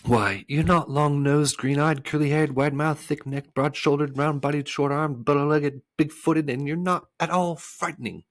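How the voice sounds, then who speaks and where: A man's voice: fairly high pitch, level tone, fast, hardly any pauses, darkish tone, husky, voice pushed up. A man voicing a young boy hero in a storybook, earnest, indignant and complaining.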